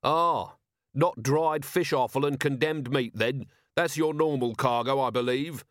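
A man voicing a self-assured, sardonic city watch commander in an audiobook, calm, wry and needling. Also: mid-range voice, unhurried, no room sound, clean studio sound, pitched above the performer's own voice, lightly acted. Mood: probing.

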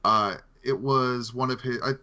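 A man's voice: medium pitch, measured pace, bright, forceful tone. A man co-hosting a podcast, giving film opinions in casual conversation, with slightly dull, lo-fi sound. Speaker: podcast co-host.

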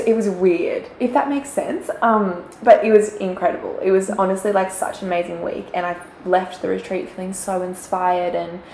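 A young woman with a croaky, gravelly voice talks chattily, filling her sentences with little fillers and giggles. The room around her is echoey, with a faint hiss of background noise. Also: mid-range voice, darkish tone. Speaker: podcast co-host. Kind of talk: casual chat. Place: quiet room, shared mic.

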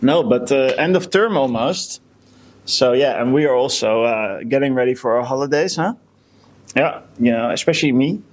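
A young man co-hosting a casual chat podcast, halting and full of fillers and restarts. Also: mid-range voice. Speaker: podcast co-host.

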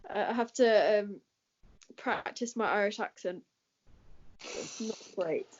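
A woman's voice: medium pitch, takes it slowly. Chatty English woman, lo-fi sound. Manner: chatty, laughs often, many fillers. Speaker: guest answering questions. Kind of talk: casual chat. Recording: dull lo-fi recording.

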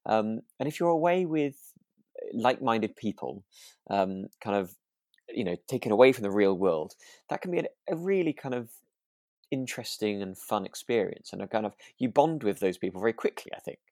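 Low, creaky man, hesitant in chat. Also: expressive, moderate pace, darkish tone, dry recording, studio quality. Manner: hesitant, tentative, hedging. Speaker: podcast co-host. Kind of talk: relaxed chat reviewing a radio drama.

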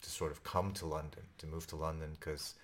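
A man from Turkey co-hosting a casual podcast, talking in a halting way full of hedging fillers. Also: a bass voice, level tone, a bit bright. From Istanbul, Turkey. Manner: halting, with many "sort of" hedges. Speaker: podcast co-host. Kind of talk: casual conversation.

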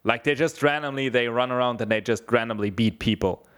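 A man's voice: medium pitch, a little fast, smooth. A young man from Bavaria, chatting casually, rambling with lots of swearing and fillers. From Germany (southeast Bavaria). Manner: rambling, swears a lot, many fillers and restarts. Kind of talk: casual chat.

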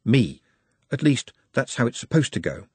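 Man playing a gentle, husky, apologetic orc. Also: medium pitch, sing-song, moderate pace, no echo. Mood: gentle, sorrowful. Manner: formal, apologetic. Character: gentle, polite, sorrowful male orc.

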